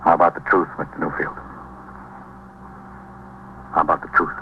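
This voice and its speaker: A man's voice: extremely low pitch, flat delivery, moderate pace. A male actor playing a stern, methodical American police lieutenant in a 1950s radio drama, firing clipped, formal, pressing questions. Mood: stern, accusing. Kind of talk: 1950s radio acting, interrogation. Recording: muffled, noisy 1950s radio recording.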